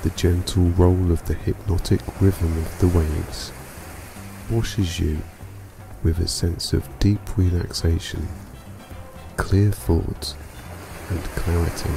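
A man narrating a scripted guided meditation for sleep in a calm, soothing, unhurried manner. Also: low voice, vocal fry, low-quality recording. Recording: music bed behind voice. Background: music.

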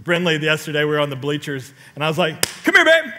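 A middle-aged male pastor preaching on a large church stage into a headset mic, telling stories with sudden loud outbursts and questions to the congregation. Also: fairly high pitch, sing-song, fast talker, slight background noise. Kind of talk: sermon.

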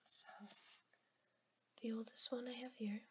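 Young woman whispering asmr, kinda sick and rough, slow and rambly, noisy recording. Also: fairly high pitch, level tone. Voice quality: whispered, soft. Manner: slow, rambling, with ums and soft laughs. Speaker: ASMR creator. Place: at a table indoors.

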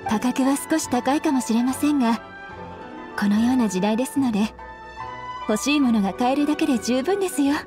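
Woman as polite, high-voiced young kimono shopkeeper. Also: fairly quick, natural voice. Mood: apologetic, explaining. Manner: polite customer-service speech. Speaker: game voice actor. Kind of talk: scripted game dialogue, Japanese dub. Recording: studio voice over game music.

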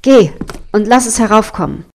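A woman's voice: medium pitch, animated, moderate pace, warm tone, close to an everyday voice. A woman voicing a commanding, questioning young male king in a fairy tale reading. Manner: direct, authoritative.

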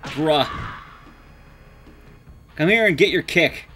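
A man's voice: fairly high pitch, animated, takes it slowly, bright, forceful tone, soft background music. A young man giving casual, wry gameplay commentary to his chat, informal and sweary. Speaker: streamer, speedrunner.

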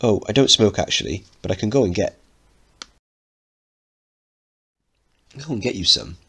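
A man's voice: lowish voice, very animated, quick pace, pushed and bright, slightly rough, heavily acted. A man voicing a posh, naive, eager teenage boy who speaks politely and earnestly in a dramatic play reading. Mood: polite surprise. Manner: polite, earnest, well-spoken.

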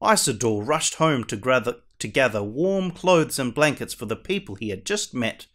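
A man playing a costumed superhero who reads a children's storybook aloud in a theatrical, deliberate way. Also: medium pitch, expressive, fairly bright tone, natural voice. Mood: animated storytelling. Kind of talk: animated picture-book read-aloud.

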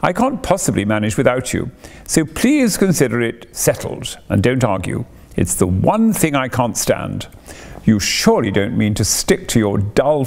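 A man voicing a boastful, excitable male toad who pleads and shows off in a storybook reading. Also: medium pitch, vocal fry, full character voice. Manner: exuberant, theatrical, pleading.

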